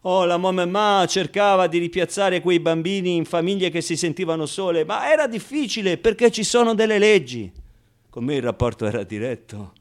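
A scrappy, wounded orphan tells his childhood story in a radio play, acted by a man. The voice sits a little high. The delivery is colloquial and theatrical, full of halting repetitions, moving between outbursts and quiet confiding. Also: animated. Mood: wry, rueful. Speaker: stage actor performing a monologue. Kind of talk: theatrical first-person radio monologue.

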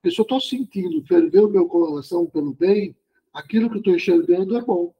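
A man's voice: high voice, expressive, fairly quick, slightly rough, highs cut off. A man leading a study group discussion, musing aloud and explaining, often checking in with tag questions.

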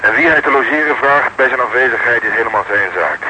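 An actor in a Dutch radio drama playing a world-weary, sardonic police detective, deadpan and sarcastic, on a noisy recording. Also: male voice, medium pitch, fast, close to an everyday voice. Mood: dry, resigned.

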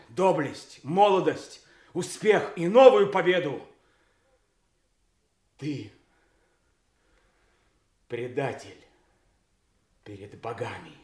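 An actor playing a wronged young nobleman in a classical radio drama, declaiming deliberately with swelling emphasis. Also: on the high side. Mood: solemn, accusing. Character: young wronged noble knight issuing a solemn challenge. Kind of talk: classical stage-style radio drama acting. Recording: echoey audio play recording.

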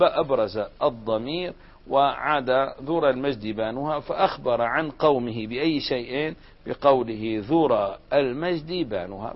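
A man teaching an Arabic grammar lesson, explaining step by step and putting questions to the class. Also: mid-range voice. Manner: explains step by step, asks students questions and answers them. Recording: dull lo-fi recording.

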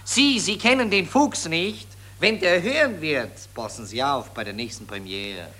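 Sharp-voiced, scolding reporter. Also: male voice, a little high, lively intonation, a little slow, full character voice. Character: sharp, scolding Viennese newspaper reporter, indignant and condescending. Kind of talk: 1940s German radio play acting.

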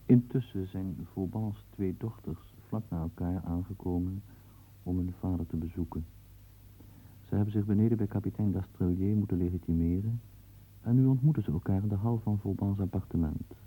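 A man narrating a radio play in a measured, matter-of-fact way. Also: deep voice, dark tone, breathy edge, poor audio.

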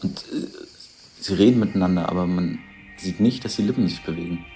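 An actor playing a curious onlooker who describes scenes in simple, tentative remarks, in a conversational radio drama style. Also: male voice, deep voice, fairly quick, warm tone, soft background music, natural voice. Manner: tentative, puzzled, simple observations. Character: curious male onlooker puzzling out what he watches.

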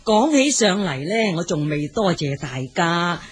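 A woman's voice: lowish voice, expressive, measured pace, a little hiss or hum. An actress playing a modest, good-natured elderly mother-in-law in a radio drama, agreeable and self-deprecating. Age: older.

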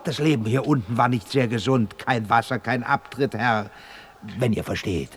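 An actor playing a knight's loyal, pragmatic squire in a radio play, soothing his master in folksy rhymes with a husky voice. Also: mid-range voice, normal speed, close to an everyday voice. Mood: deferential, wry. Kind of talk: theatrical rhyming radio play.

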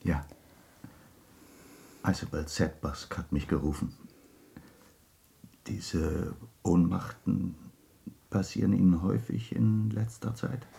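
Slow, gravelly, grave doctor. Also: male voice, deep voice, fairly even intonation, heavily acted. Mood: grave, reluctant. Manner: halting, gentle, solemn. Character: grave, sympathetic male family doctor breaking bad news. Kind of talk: German radio drama acting.

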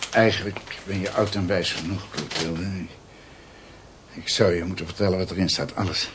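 An actor playing an older, bookish doctor who tells family history in a halting, musing way, in radio drama style. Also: male voice, deep voice, fairly even intonation, noisy background, close to an everyday voice. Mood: contrite, hesitant. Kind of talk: radio drama acting, storytelling.

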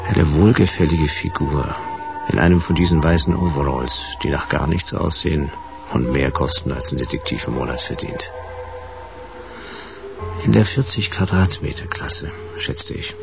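A middle-aged man playing a world-weary private detective who narrates a German radio play in a laconic, deadpan way. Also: rumbling low, normal speed, vocal fry, over music. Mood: wry, musing. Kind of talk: noir first-person radio play narration.

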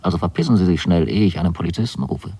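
An actor voicing a gruff, pompous man in a radio play, indignant and emphatic in manner. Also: low-pitched, expressive, rapid-fire, dark tone, heavily acted. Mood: indignant, threatening. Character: gruff, pompous dark-haired man hostile to intruders. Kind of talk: literary radio drama.

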